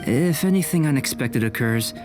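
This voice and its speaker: An actor playing a calm, methodical young alchemist in a video game, speaking in a measured, precise, reassuring way. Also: male voice, medium pitch, close to an everyday voice. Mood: calm, clinical. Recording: loud game music behind voice.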